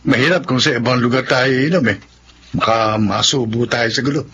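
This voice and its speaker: Mid-pitched, cautious Filipino buddy, acted by a man. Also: fairly quick, sharp tone, slight background noise, close to an everyday voice. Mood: easygoing, wary. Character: cautious, easygoing young male drinking buddy. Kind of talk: Filipino radio drama acting.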